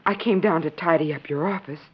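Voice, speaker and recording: A breathy, slightly low-pitched young frontier daughter, earnest and protective. The sound is a muffled 1940s radio drama. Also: female voice, a little fast. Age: young adult. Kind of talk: 1940s radio western acting.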